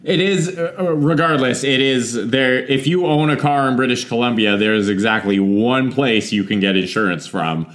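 A man co-hosting a podcast, sarcastic and quick to swear. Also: medium pitch, a bit bright. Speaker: podcast co-host. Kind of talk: casual podcast chat.

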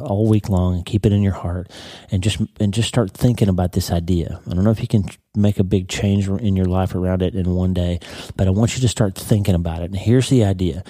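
A congested man with a croaky, stuffy voice that sits low and sounds very dark and mellow. He talks in a casual, conversational way on his podcast, doubling back on words as he thinks, in a dry, close sound. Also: no echo. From United States. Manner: warm conversational, repeats words as he goes. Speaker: podcast host. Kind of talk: casual motivational podcast talk.

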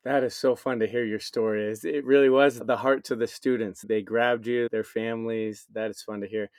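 A man hosting a podcast in a smooth, resonant voice, recorded dry and close. He affirms the guest and hands the talk on to his co-host. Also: medium pitch, flat delivery, moderate pace, no echo. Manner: warmly affirming, handing the conversation on. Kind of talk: casual podcast chat.

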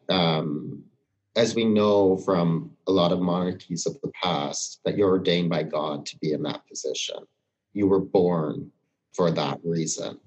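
A man's voice: low-pitched, poor audio. A man speaking reflectively as a podcast guest, thinking aloud and searching for his words in a conversational discussion.

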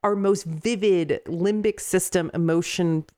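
A woman hosting a casual conversation, animated and quick, laughing between her thoughts. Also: fairly low pitch. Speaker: podcast host. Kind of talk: casual chat.

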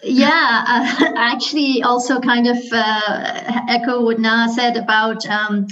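A woman, talking thoughtfully on a panel with many pauses and fillers. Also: fairly high pitch, fairly even intonation, a bit bright, muffled recording. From Pakistan. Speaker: alumna panelist. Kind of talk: casual panel talk.

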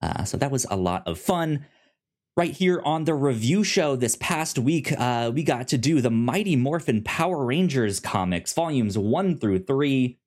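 A man's voice: mid-range voice, expressive, a little slow, darkish tone. A man hosting a podcast in a casual, chatty style, often stammering over sounds and words. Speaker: podcast host.

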